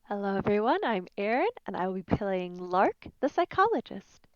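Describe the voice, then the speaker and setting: A woman's voice: mid-range voice, big pitch swings, moderate pace, dry recording. A woman talking casually as a player in a tabletop game. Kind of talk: casual introduction. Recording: slightly dull, lo-fi microphone.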